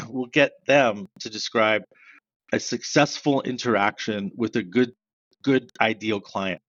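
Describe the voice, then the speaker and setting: A man from Canada, a sales trainer who is a guest on an interview podcast, explaining ideas step by step with examples. He describes himself as a loud, fast talker. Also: on the low side, no echo. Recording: dry, slightly dull sound.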